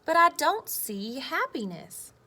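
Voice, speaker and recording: A woman's voice: sits high, very animated, slow pace, sharp tone, voice pushed up, heavily acted. A woman voicing a young boy from a storybook, earnest and plaintive as he searches, with emphatic delivery. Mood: disappointed, searching. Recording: clear background noise.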